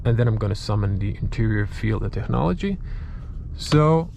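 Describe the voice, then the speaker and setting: A man's voice: on the low side, expressive, normal speed, a little mellow. A man with a Croatian accent, a native Croatian speaker, gives casual car review commentary in English as a second language, sometimes searching for words. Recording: clear vehicle and ambient noise. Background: car and ambient noise.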